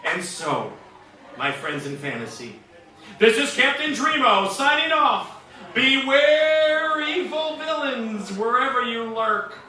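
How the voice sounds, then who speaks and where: A man's voice: very high voice, sharp tone, recorded from a distance, heavily acted. A man playing a grandiose, melodramatic superhero in an old-time radio serial, pompous and declamatory. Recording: very echoey noisy hall.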